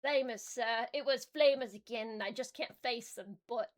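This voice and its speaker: A woman's voice: fairly high pitch, moderate pace, natural voice. A woman voicing a reluctant, superstitious male orc officer who speaks hesitantly. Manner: hesitant, grudging.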